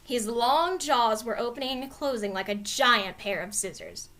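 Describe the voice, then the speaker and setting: A woman reading a children's story aloud in an animated, expressive narrating style. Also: a little high, normal speed. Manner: expressive, clearly dramatized reading. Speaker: storybook reader.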